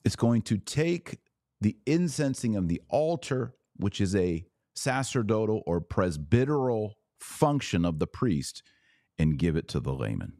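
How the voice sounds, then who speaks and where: A man with a fairly low voice lecturing on a podcast, pressing his points through steady repetition. The sound is close and dry, with no room around it. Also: a little slow, dry recording. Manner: emphatic, lecturing, repeats points for stress. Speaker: podcast host. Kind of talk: podcast commentary on church liturgy.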